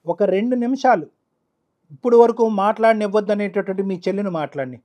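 A middle-aged man counselling emotionally, emphatic and forceful, often repeating his phrases. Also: high voice, fast. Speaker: counsellor doctor on the show. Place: studio.

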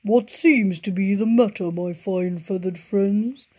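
A woman reading a storybook aloud and voicing a sly male fox who coaxes slowly in a drawn-out, oily way. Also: mid-range voice, dark tone, breathy edge. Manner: slow, drawn-out, oily coaxing. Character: sly, smirking male fox, smooth-talking.

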